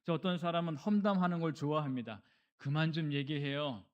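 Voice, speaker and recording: High-voiced male pastor preaching earnestly. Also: a little fast, dull audio. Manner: earnest, exhorting, acts out short dialogues. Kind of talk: sermon preached to a congregation. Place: church service.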